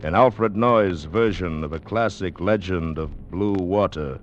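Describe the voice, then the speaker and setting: A deep-voiced man narrates as a vintage radio announcer. He speaks very slowly and ominously, with faint music underneath. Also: muffled recording. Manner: ominous, deliberate. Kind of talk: dramatic 1940s radio narration.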